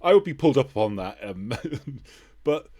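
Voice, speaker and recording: A man's voice: mid-range voice, sing-song, moderate pace, a little mellow. A man hosting a podcast in a casual conversational style, hesitant and agreeable as he talks. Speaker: podcast host. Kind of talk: casual interview chat.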